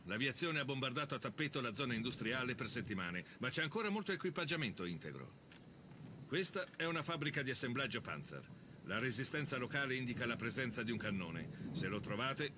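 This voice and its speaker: Actor as stern officer with lively intonation. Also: male voice, mid-range voice, a little fast, background noise, lightly acted. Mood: stern, confident. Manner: firm, authoritative, declarative. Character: male army officer, stern, confident mission briefer. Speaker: briefing officer. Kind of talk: scripted mission briefing.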